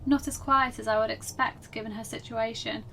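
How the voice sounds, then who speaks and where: A woman's voice: a little high, fairly even intonation, moderate pace, vocal fry, a bit bright. A woman reading a horror story as a dramatic scripted narration. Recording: distant mic with faint background noise.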